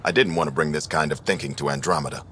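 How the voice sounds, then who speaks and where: Outpost chief: deep forceful voice, earnest, cautious, sci-fi game. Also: male voice, steady tone, fast, slight background noise. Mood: concerned. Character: male colony outpost leader, earnest, burdened, cautious. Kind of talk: video game voice acting.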